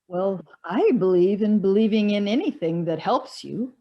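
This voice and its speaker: A woman's voice: mid-range voice, lively intonation, moderate pace, lo-fi sound. A woman acting a sympathetic, gossipy society lady in a stage play, theatrical and emphatic. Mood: reassuring. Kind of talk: one-act stage play performed on a video call.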